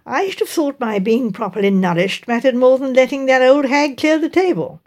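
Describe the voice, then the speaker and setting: A sardonic young Englishman, played by a woman reading an audiobook, talks in an offhand drawl. He sounds bored and low-key, pitched a little down. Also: moderate pace, lightly acted. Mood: grumpy, bored.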